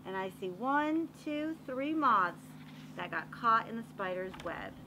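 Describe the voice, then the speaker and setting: A woman reading a picture book aloud to young children, animated and expressive, pausing to explain and ask questions. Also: a little high, background noise. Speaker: read-aloud storyteller for children.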